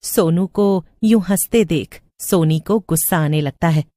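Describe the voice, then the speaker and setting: Slow, dry-sounding woman storyteller. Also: fairly low pitch, dark tone, no echo. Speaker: narrator. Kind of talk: scripted horror story narration.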